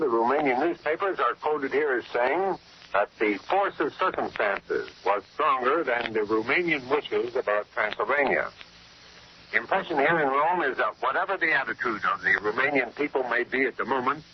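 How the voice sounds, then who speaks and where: Male 1940s radio correspondent, mid-pitched, formal and measured, dull vintage broadcast sound. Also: animated. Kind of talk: 1940s radio news report, scripted. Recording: muffled, noisy 1940s shortwave radio broadcast.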